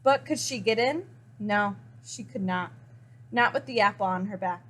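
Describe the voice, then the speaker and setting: A woman reading a children's picture book aloud expressively, with dramatic emphasis and pauses. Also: medium pitch, a bit bright. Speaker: story reader.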